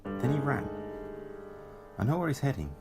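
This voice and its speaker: Male actor for a scheming Time Lord executioner: gravelly, menacing, widely swinging delivery. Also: medium pitch, sing-song, moderate pace. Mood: sly, knowing. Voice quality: gravelly, creaky. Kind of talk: audio drama acting. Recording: clear music behind. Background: music.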